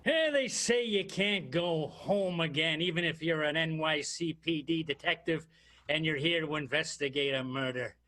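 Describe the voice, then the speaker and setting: A man playing a brooding, slightly dim New York police detective, musing haltingly in an improvised radio soap. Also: on the high side, pushed and bright. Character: brooding, slightly dim hard-boiled NYPD homicide detective.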